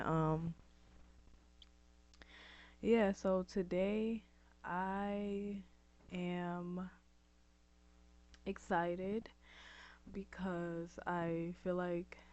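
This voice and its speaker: Scratchy-voiced young woman vlogging, slow and rambling. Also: fairly low pitch, darkish tone. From upstate New York. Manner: rambling, lots of ums and likes. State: scratchy, dry throat. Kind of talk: casual chatty vlog. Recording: dull lo-fi recording.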